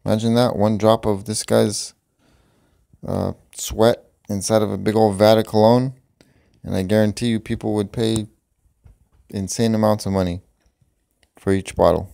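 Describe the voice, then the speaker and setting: A man's voice: on the low side, flat delivery, normal speed, gravelly, long pauses. A man talking off the cuff, rambling and swearing, with a voice-over over music. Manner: profane, rambling, laughs at own jokes. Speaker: vlogger. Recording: voice over loud background music.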